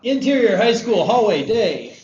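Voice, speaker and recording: A man's voice: high voice, very animated, a little slow, a loud crowd. A man narrating stage directions at a screenplay table read. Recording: echoey room, muffled, faint noise.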